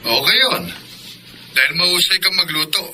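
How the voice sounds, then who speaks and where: A man's voice: high voice, animated, moderate pace, pushed and bright, heavily acted. An actor playing a caring, anxious seaman father in a Filipino radio drama. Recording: very noisy radio drama audio.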